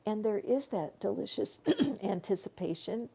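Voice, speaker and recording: A woman giving a live tarot reading in slow, soothing spiritual guidance, her voice growing tired. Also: mid-range voice, expressive, creaky, mellow, breathy edge. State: tiring voice. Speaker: tarot reader.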